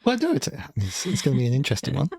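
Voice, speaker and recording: A man's voice: medium pitch, wildly swooping pitch, racing, creaky, darkish tone, breathy edge, no room sound. A man from Wales with a Welsh accent, co-hosting a casual podcast and rambling with dry humour and frequent self-corrections. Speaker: podcast co-host. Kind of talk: casual chat.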